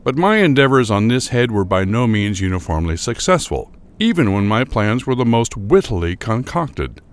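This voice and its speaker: A man giving a dramatic first-person literary narration for a horror audio drama, with formal, measured phrasing. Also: mid-range voice, big pitch swings.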